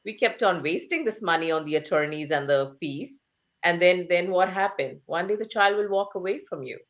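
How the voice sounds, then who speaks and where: Earnest woman author, emphatic and low-pitched. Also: animated, moderate pace. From Asia. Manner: earnest, emphatic, rhetorical questions; repeats phrases for emphasis. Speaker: author and interview guest. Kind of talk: conversational interview.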